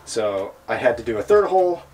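A chatty man in his thirties talks a van build through step by step, with an easy, self-deprecating tone. His voice sits at an ordinary middle pitch for a man. He is close to a handheld camera in a garage workshop. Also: big pitch swings, a little fast, darkish tone, soft background music. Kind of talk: casual DIY explainer vlog. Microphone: handheld camera close to face.

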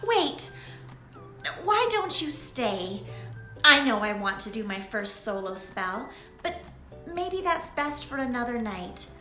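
An excitable young witch girl's voice, pitched high and bright, as a woman puts her on for a bedtime story. She talks in breathless, pleading bursts, heard in an echoey room with soft music behind. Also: slow pace, heavily acted.

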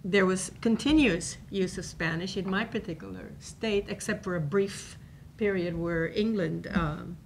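A woman's voice: lowish voice, slight background noise. Cuban-born woman lecturing at a panel. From Cuba. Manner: conversational, many fillers and restarts. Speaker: professor giving a talk. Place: university seminar room. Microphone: table microphone.

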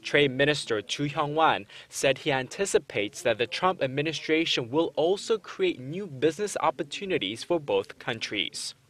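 A man's voice: fairly high pitch, animated, moderate pace, few pauses, fairly bright tone. A young man narrating a scripted television news report as a reporter. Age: young adult.

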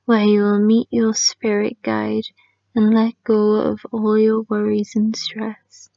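A woman leading a guided sleep meditation in a soft, calming manner, often trailing off into a near-whisper. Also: medium pitch, slow, vocal fry, no room sound. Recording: dry, slightly muffled lo-fi sound.

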